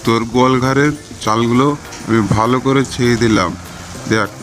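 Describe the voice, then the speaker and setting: A man's voice: mid-range voice, steady tone, normal speed, over loud music, lightly acted. A man playing a kindly, generous middle-aged village uncle in a cartoon moral story, speaking in a familiar, fatherly, advice-giving way. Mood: friendly, reassuring.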